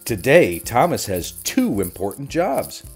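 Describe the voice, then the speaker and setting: Guy reading kids storybook out loud, bit raspy, very expressive, music behind. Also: mid-range voice, unhurried. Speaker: storybook narrator. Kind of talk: expressive children's storybook narration.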